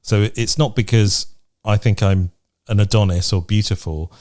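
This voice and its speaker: A man's voice: lowish voice, normal speed, darkish tone. A man co-hosting a casual advice podcast, chatting candidly and conversationally and reading out listener questions. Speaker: podcast co-host. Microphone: remote recording from separate location.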